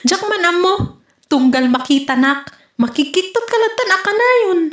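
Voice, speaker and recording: A woman's voice: very high voice, expressive, unhurried, a bit bright, echoey room, heavily acted. Woman playing a teasing Ilocano neighbor. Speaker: actor in a comedy skit. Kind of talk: comedy skit acting.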